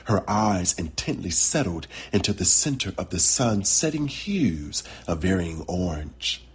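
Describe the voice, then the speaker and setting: A man narrating a literary short story as its author, with deliberate, dramatic phrasing. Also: deep voice, very animated, breathy edge. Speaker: author reading his own short story.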